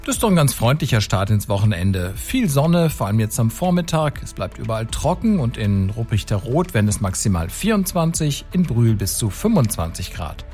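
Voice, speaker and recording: A man's voice: medium pitch, big pitch swings, fairly quick, few pauses, darkish tone, soft background music. Upbeat male radio weatherman. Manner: upbeat, friendly forecast delivery. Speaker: weather presenter.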